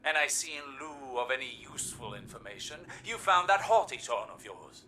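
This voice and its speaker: An actor playing a brash, impatient warrior-scholar in a video game, speaking in an intense, commanding, accusatory way. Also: male voice, medium pitch, animated, moderate pace, far from the mic, heavy background noise, lightly acted. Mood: bitter, cutting. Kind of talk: scripted video game dialogue.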